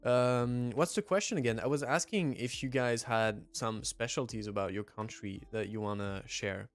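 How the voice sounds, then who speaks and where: A man's voice: medium pitch, moderate pace, soft background music. A young man with a French accent chatting casually on a livestream, hesitant and full of fillers and restarts. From southwest France. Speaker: streamer. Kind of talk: casual livestream chat, reading chat aloud.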